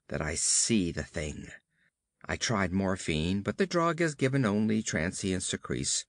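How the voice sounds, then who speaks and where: Dramatic male horror narrator, sweeping pitch. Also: no room sound, studio quality. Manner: theatrical, with drawn-out emphatic words. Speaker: audiobook narrator. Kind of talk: dramatic first-person horror story reading.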